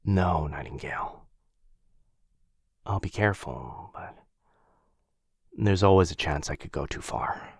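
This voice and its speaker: A man playing a gentle, protective vampire lover in an intimate boyfriend ASMR roleplay, tender and hushed, with a breathy, husky voice. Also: low voice, measured pace, pauses often, no echo, clean studio sound, exaggerated voice. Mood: hesitant, caring. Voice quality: breathy, husky, often whispering. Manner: tender, hesitant, reassuring, hushed. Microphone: close microphone.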